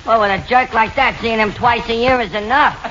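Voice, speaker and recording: A woman's voice: on the high side, fairly quick, lightly acted. A woman playing a blunt, sassy sibling in a 1940s radio comedy sketch. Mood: blunt, needling. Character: blunt wisecracking sibling in a comic-strip sketch. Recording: very noisy muffled 1940s radio recording.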